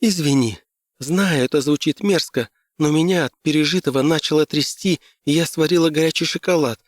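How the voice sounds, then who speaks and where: A man's voice: fairly high pitch, brisk, no echo, clean studio sound, pitched above the performer's own voice, lightly acted. A man voicing an anxious woman trekking client in an audiobook story. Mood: apologetic, flustered. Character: anxious young woman client on an Antarctic trek.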